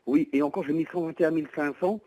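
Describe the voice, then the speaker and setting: A man's voice: a little high, steady tone, quick pace, warm tone, no echo, poor audio. A man explaining as a private seller on the phone, hesitant, wordy and polite. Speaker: private seller of a used van. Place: at home, on the phone. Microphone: telephone.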